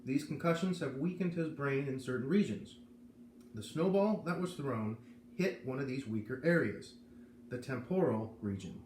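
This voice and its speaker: A man's voice: medium pitch, lively intonation, moderate pace, darkish tone, natural voice. A man voicing a grave, kindly doctor who explains things with care, in a read-aloud of a children's novel. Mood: grave explaining. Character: grave, kindly male doctor with greying hair. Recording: roomy echo, noticeable background noise.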